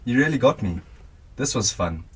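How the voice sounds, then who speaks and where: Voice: medium pitch, expressive, normal speed, husky. A student actor playing a smug, taunting male murder suspect in a radio drama.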